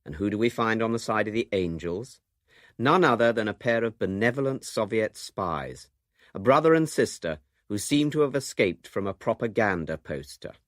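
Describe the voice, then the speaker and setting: A British man presents scripted radio narration with a wry touch. His voice is a little low, recorded close and dry. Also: animated, moderate pace, fairly bright tone, dry recording. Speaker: documentary presenter.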